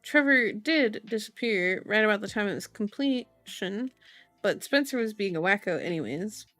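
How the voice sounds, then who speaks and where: A woman's voice: medium pitch, expressive, moderate pace, fairly bright tone, dry recording, lightly acted. A woman voicing a dismissive male police teammate from a novel, blunt and slangy. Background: music behind the voice.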